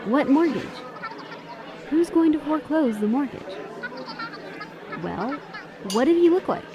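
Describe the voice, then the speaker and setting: An actress playing a plain-spoken, caring young waitress in a radio drama. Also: female voice, sits high, lively intonation, a little slow, crowd noise. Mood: concerned, curious.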